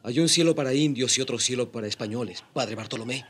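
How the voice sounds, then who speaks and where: An actor in a radio drama playing a proud, defiant young Indian rebel leader, speaking in a solemn, declamatory way. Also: male voice, mid-range voice, fast, fairly bright tone, husky. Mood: bitterly defiant.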